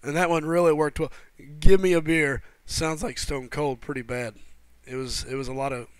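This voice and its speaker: Hoarse laid-back male game streamer. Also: a little high, fairly even intonation, normal speed, dry recording. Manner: laid-back, greets viewers by name as buddy and brother. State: sore throat from a head cold. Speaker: livestream host. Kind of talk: casual live gameplay chat, reading chat aloud.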